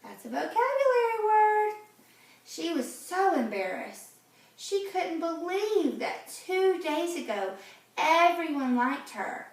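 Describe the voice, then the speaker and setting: A woman reading a picture book aloud to children in a gentle, teacherly way, pausing to ask them questions. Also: very high-pitched, sing-song, recorded from a distance. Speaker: read-aloud host for children. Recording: very echoey room, off-mic.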